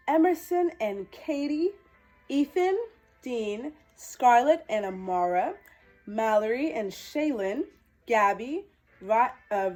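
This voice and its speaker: A woman with a fairly high voice reads to children at a very slow, deliberate pace. Her delivery is expressive and sweet, as a storytime host speaking to young viewers. Faint music plays behind her. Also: poor audio. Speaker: children's storytime host dressed as a princess character. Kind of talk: animated children's picture-book reading and direct address to young viewers.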